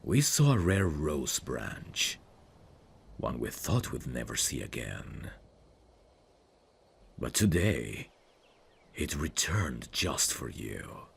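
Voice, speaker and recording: A man playing a brooding evil prince, tender and sorrowfully noble, speaking in a slow, formal, wistful way for an intimate ASMR fantasy roleplay. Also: a bass voice, very animated, vocal fry, slight background noise, heavily acted. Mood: tender, solemn.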